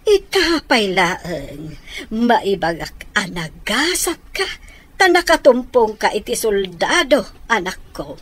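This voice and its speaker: A woman's voice: medium pitch, big pitch swings, a bit bright, breathy edge, heavily acted. An actress playing a plaintive middle-aged village mother in a radio comedy-drama, drawing out her words with sighs and exclamations. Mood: rueful. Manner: drawn-out, sighing, exclamatory.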